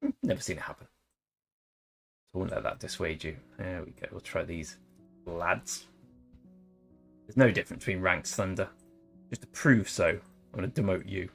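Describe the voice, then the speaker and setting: A man's voice: sits low, normal speed, creaky, long pauses, soft background music. Joking young Englishman streaming a game. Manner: jokey, rambling, tries silly accents. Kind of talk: casual live-stream chat over gameplay.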